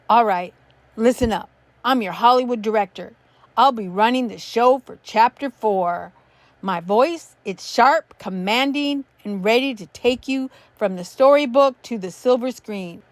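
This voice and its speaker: A woman playing a sharp, commanding film director. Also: fairly high pitch, no echo, close to an everyday voice. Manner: brisk, commanding.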